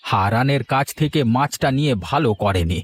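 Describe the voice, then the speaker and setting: A man's voice: mid-range voice, lively intonation, a little fast, lightly acted. Man acting a stern, crafty village healer. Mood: stern, scheming. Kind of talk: animated story dialogue. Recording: faint music behind the voice.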